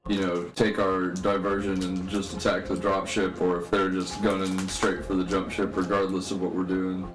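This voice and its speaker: Man, flat deep voice, tabletop player, casual strategy talk, clear guitar music behind. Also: normal speed. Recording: roomy echo, music over voice.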